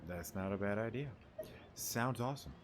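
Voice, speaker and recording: A man's voice: lowish voice, moderate pace, background noise. A young man giving casual, joking, offhand commentary as he plays, with game music behind him. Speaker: gaming streamer. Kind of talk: casual reaction commentary over gameplay.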